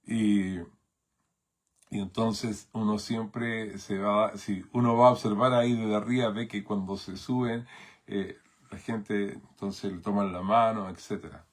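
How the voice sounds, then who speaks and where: A man's voice: fairly low pitch, steady tone, unhurried. A man from Chile with a Chilean Spanish accent, preaching a sermon as pastor in a thoughtful way, with many fillers and tag questions.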